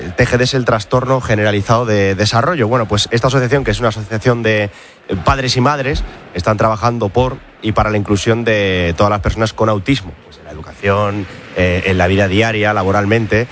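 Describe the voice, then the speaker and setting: A man's voice: medium pitch, a bit bright, a little hiss or hum. A middle-aged man who contributes to a radio show, bantering in a mock-offended, playful way and reading announcements.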